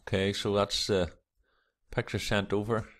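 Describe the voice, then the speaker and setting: A man hosting a camera vlog, with a croaky early-morning voice, rambling and reading articles aloud with lots of fillers. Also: lowish voice, flat delivery, unhurried, fairly bright tone. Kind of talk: casual screen-recorded commentary.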